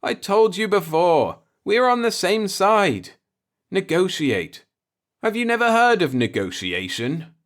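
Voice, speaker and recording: A man's voice: high voice, big pitch swings, slow pace, voice pushed up, full character voice. A man voicing an earnest, somewhat impatient human space traveler who reasons persuasively in a science fiction audiobook. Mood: insistent, pleading. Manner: insistent, explanatory, persuasive.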